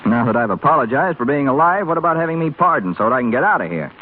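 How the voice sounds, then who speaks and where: A man's voice: medium pitch, animated, rapid-fire, lightly acted. An actor playing a brash, sardonic young rich man in a 1930s radio drama. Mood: bitter, sardonic. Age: young adult. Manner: wisecracking, sardonic. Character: brash, flippant, restless young rich male hospital patient. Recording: scratchy, tinny 1930s radio broadcast recording.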